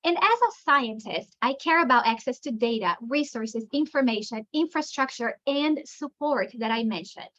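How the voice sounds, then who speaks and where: A high, bright voice from a woman from Brazil whose first language is Portuguese, delivering a plenary lecture. She talks with eager enthusiasm and restarts her sentences often. The recording sounds dull and lo-fi. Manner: enthusiastic, conversational with frequent restarts. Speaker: plenary speaker. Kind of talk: academic lecture.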